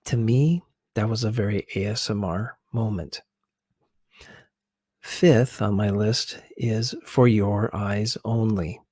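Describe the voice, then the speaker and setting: A man giving soft, unhurried ASMR commentary as a video host, hesitant and sometimes slipping toward a whisper. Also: lowish voice, slightly rough, no room sound, clean studio sound.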